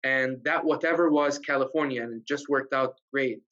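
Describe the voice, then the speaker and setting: A man from Egypt, a guest on a video-call interview, telling his story in a relaxed way with many "um"s and "uh"s. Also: medium pitch, moderate pace. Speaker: guest, realtor. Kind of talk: casual interview chat. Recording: dull lo-fi video call audio.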